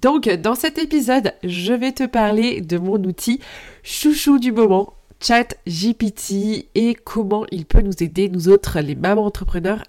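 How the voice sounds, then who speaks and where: A woman's voice: medium pitch, a little mellow. A young adult woman hosting a podcast with a head cold and a nasal voice, chatting upbeat and straight to the listener. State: head cold, stuffy nose. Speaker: podcast host. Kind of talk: casual solo podcast talk to the listener.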